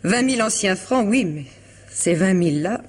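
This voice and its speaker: A woman's voice: mid-range voice, expressive, moderate pace, natural voice. An actress playing an elegant, troubled woman confiding in a lawyer friend in a vintage French radio drama, hesitant yet articulate. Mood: agitated, defensive. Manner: hesitant, restarting, articulate and formal.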